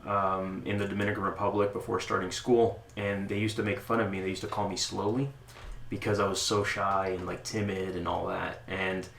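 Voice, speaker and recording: A man talking casually as an interview guest about the club he runs, relaxed and joking, with lots of restarts and fillers. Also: sits low, fairly quick, vocal fry. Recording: echoey room with faint noise.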